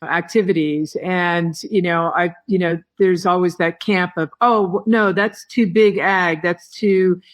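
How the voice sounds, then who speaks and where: A woman giving a reflective interview as a guest, speaking in a halting, thoughtful way with phrases broken by pauses. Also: lowish voice, steady tone. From not originally from Hawaii, long resident there. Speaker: podcast guest, tea grower and company head.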